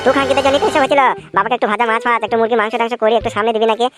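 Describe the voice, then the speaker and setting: A woman plays a grown village son in a comedy cartoon, crude, scheming and wheedling. The voice sits fairly high and races along very quickly, with music clearly behind it. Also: steady tone, natural voice. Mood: coarse, scheming. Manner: coarse, nagging, wheedling. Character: scheming, foul-mouthed adult son of a village household.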